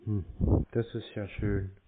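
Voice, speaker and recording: A man bantering casually on a phone call in a mocking, deadpan way. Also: on the low side, slow, heavy background noise. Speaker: host of a scam-baiting show, prank caller. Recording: narrow tinny phone-line sound.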